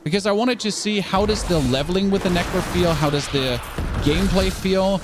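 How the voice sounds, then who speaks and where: A German man chatting excitably and casually while streaming a video game, often stumbling and restarting his words. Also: sits high, brisk, background noise. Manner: chatty, excitable, self-correcting. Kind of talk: casual gameplay commentary.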